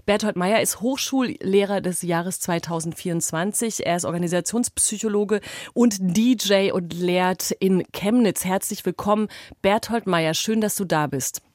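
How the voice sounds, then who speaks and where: A woman's voice: mid-range voice, normal speed, a little mellow, no room sound, clean studio sound. A woman co-hosting a podcast in casual chat, talking while she has a cold. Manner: chatty, laughs often, self-deprecating. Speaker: podcast co-host. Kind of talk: casual conversational podcast talk.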